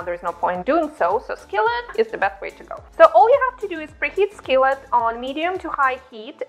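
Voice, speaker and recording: A bubbly woman talks through a cooking tutorial, her voice sitting fairly high. She speaks on a clip-on mic in a home kitchen. Light music plays softly underneath. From Ukraine. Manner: bubbly and chatty. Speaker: cooking channel host. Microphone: clip-on lapel mic.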